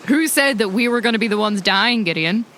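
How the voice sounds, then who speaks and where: A woman's voice: medium pitch, animated, fairly quick, a bit bright, a little hiss or hum. A woman playing a tabletop roleplaying game, improvising banter in character.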